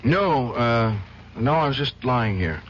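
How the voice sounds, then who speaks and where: An actor playing a weary, guilt-ridden male attorney who talks in a hesitant, halting way in a 1970s radio drama. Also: medium pitch, lively intonation, a little hiss or hum. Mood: troubled. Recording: dull old radio recording.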